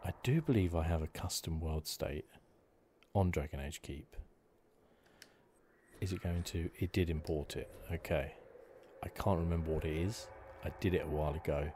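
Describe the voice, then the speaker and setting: Male gaming host, extremely low voice, gentle hesitant ASMR delivery, clear background noise on the recording. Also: normal speed. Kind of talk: ASMR game playthrough commentary.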